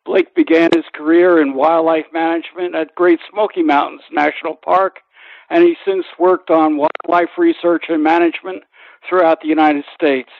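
A man's voice: fairly high pitch, little pitch movement, moderate pace, vocal fry, no room sound. Man, interview host, dry close sound, narrow phone-like band, conversational. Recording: thin, phone-like radio sound.

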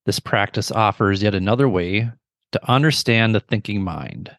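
A middle-aged man leading a guided meditation with measured, gentle, instructional phrasing and pauses between phrases. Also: lowish voice, no echo, studio quality.

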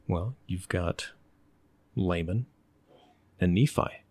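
A middle-aged man from the United States, telling stories in an unhurried, thoughtful way and pausing to find his words. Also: low voice, a little mellow, no room sound. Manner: unhurried, thoughtful, often pauses to search for words. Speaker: interview guest. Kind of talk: relaxed conversational storytelling.

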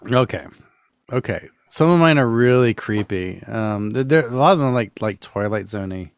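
A man hosting a podcast in casual chat, telling things with drawn-out emphasis. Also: fairly low pitch, lively intonation, smooth, no echo. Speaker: podcast host.

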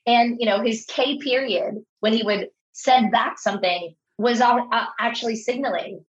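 A woman's voice: on the high side, normal speed. A woman in her thirties, an author being interviewed, telling stories in an earnest, conversational way and often restarting her sentences. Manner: earnest, anecdotal, repeats words when starting. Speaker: author and guest. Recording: slightly dull, lo-fi audio.

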